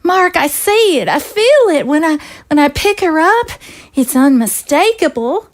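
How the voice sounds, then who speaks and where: A woman's voice: sits high, big pitch swings, a little slow. A woman voicing an earnest, worried undead first lady who confides hesitantly about her daughter. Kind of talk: amateur audio drama acting.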